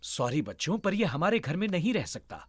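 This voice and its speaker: An actor playing a patient but firm rabbit father in a children's cartoon, speaking in a measured, parental way. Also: medium pitch, big pitch swings, husky, no echo, clean studio sound. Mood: gently firm. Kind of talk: Hindi-dubbed children's cartoon acting.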